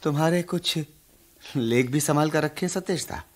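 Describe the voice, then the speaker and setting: A man's voice: on the high side, moderate pace, a little mellow, lightly acted. An actor in a radio play voicing a friendly, hopeful literary man, genial and a little hesitant. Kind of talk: Hindi radio play acting.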